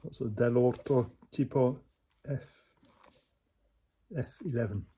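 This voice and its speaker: A man, casually explaining a motorcycle repair as he works, in a relaxed, rambling way with plenty of fillers. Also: medium pitch, slow, slightly rough. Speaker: motorcycle restorer, channel host. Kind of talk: casual workshop talk-through. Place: workshop or shed.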